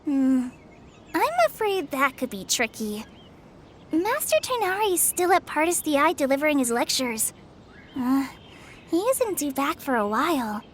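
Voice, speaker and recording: A shy stammering young ranger. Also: female voice, high-pitched, big pitch swings, unhurried, a little hiss or hum. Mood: thoughtful. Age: young adult. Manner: hesitant, stammering. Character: shy, earnest young woman forest ranger. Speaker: forest ranger. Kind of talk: game voice acting.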